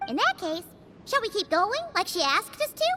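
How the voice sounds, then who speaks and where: A woman voicing a small, excitable fairy-like girl companion in a video game, with a squeaky childlike voice. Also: normal speed, big-room sound, faint music behind, exaggerated voice. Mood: eager.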